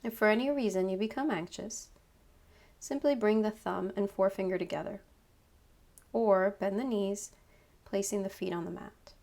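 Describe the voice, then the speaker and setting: Woman guiding meditation, gravelly creak. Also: medium pitch. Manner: calm, unhurried, short instructive phrases with pauses. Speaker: yoga nidra meditation guide. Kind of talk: guided meditation, slow spoken instructions.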